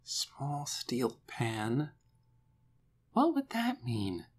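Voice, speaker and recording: Woman voicing a quiet, serious, doubtful young boy. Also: sits low, very animated, measured pace, husky, voice pushed up, heavily acted. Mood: murmuring, absorbed. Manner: hesitant, soft-spoken, murmuring. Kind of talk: children's audiobook character dialogue.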